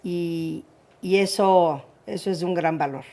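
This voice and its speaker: A woman's voice: fairly low pitch, measured pace, crowd noise. A middle-aged Mexican woman with a Mexican accent, hosting a casual interview in an affectionate, playful way. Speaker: interview host.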